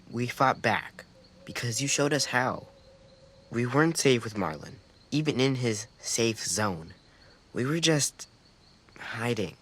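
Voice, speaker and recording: A man's voice: medium pitch, a bit bright, lightly acted. A man playing an earnest, thoughtful young boy survivor in a video game, speaking hesitantly. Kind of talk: naturalistic video game voice acting. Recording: noisy outdoor ambience with crickets.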